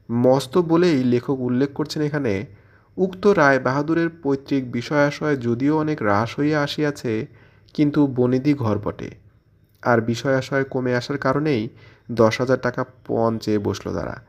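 A man presenting a scripted literary commentary in an explanatory way, posing questions and answering them as he goes. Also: medium pitch. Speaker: presenter of a literary audio channel.

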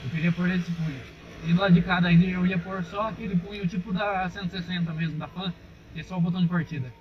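A young Brazilian man with a fairly high voice talks casually to his viewers as he rides. The sound comes from a dull, muffled helmet camera, with steady engine and wind noise loud behind him. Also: fast. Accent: Brazilian Portuguese. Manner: chatty, addresses viewers as "rapaziada". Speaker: motovlogger. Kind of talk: casual motovlog while riding. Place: outdoors on a dirt road, riding a motorcycle. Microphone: helmet-mounted action camera.

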